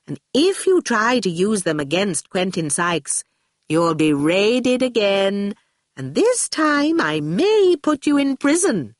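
A woman's voice: medium pitch, very animated, takes it slowly, no room sound, studio quality. Woman acting a sweetly menacing, condescending authority. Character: calm, chiding authority who says dear.

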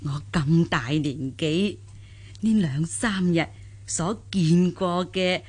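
A woman's voice: lowish voice, slow, darkish tone, breathy edge. A woman playing a grateful, deferential elderly countrywoman in a radio drama, rambling and sighing. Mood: humble gratitude. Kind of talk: Cantonese radio drama acting.

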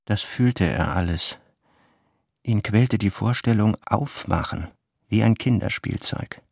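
A deep, gravelly brooding narrator. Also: male voice, slow pace, no echo, studio quality, full character voice. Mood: brooding, tormented. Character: brooding male narrator of a literary radio play. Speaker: literary narrator. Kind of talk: dramatic literary reading in a radio play.

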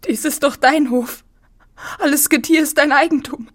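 An actress playing a bitter, wounded, possessive farm wife in a literary radio drama, with intense delivery. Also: female voice, sits high, normal speed, close to an everyday voice. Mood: agitated, pleading.

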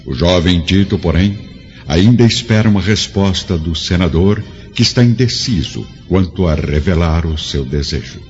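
A man's voice: sits low, lively intonation, moderate pace, darkish tone. A man narrating a radio drama in a solemn, grandiloquent, dramatic scripted style. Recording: dull lo-fi radio drama with music.

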